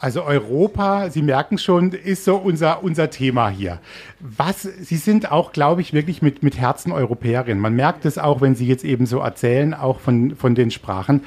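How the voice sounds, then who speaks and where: A man's voice: fairly high pitch, lively intonation, moderate pace, darkish tone, breathy edge. A man hosting a casual interview, asking questions in an informal, wordy way. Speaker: interviewer, host.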